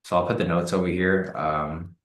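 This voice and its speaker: A man hosting a live trading stream, hoarse and raspy from the cold, talking casually with many fillers and repeated words. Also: low-pitched, level tone, normal speed, reverberant. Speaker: trading livestream host. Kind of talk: casual live-stream commentary answering chat.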